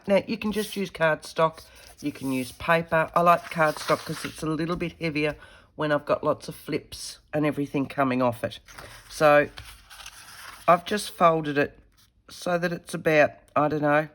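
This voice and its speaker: A woman, slightly croaky from a head cold, chatting casually as she demonstrates a paper craft while working with her hands. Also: low voice. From Australia. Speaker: craft tutorial host.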